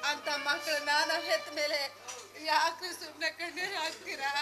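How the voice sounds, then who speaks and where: A woman plays a tearful mother who pleads softly with her husband. Her voice is high and quiet, with music in the background. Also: fairly even intonation, moderate pace, close to an everyday voice. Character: tearful wife Meenakshi, mother of sons.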